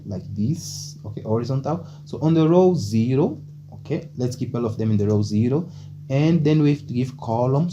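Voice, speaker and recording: Portuguese-speaking man teaching code, mellow voice. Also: medium pitch. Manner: loose, chatty, keeps adding "okay?", thinks aloud with small slips. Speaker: programming tutor. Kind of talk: casual screen-recorded coding lesson. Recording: dull lo-fi mic with faint hum.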